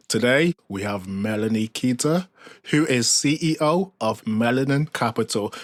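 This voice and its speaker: Man, podcast host, interview style, mid-range pitch, dry recording. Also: dry recording. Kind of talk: interview hosting.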